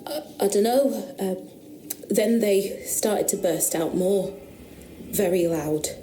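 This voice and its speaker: A woman's voice: mid-range voice, mellow, echoey room, a little hiss or hum, natural voice, rough recording. Woman playing a shaken country girl. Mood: fearful. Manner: halting, hesitant, rustic speech. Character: frightened young country woman, insistent witness. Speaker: witness questioned about noises. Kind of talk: radio drama acting.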